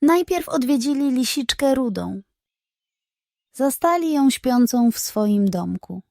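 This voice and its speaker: Mellow, unhurried woman reading bedtime stories. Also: high voice, lively intonation, creaky, dry recording, studio quality. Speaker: narrator of children's audio stories. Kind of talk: scripted bedtime story narration.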